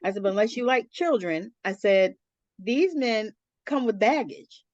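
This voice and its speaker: A woman's voice: on the high side, expressive, a little slow, dry recording, highs cut off. A middle-aged woman hosting a casual chat, blunt and colloquial, peppering her talk with "you know". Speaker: interview host.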